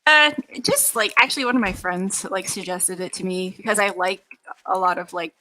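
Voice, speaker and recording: A woman's voice: mid-range voice, moderate pace, pushed and bright, rough recording. A woman giving a casual interview while gaming, speaking informally and peppering her sentences with "like". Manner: informal, heavy use of "like". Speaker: interview guest, first-time voice actor. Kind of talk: casual chat while playing a video game.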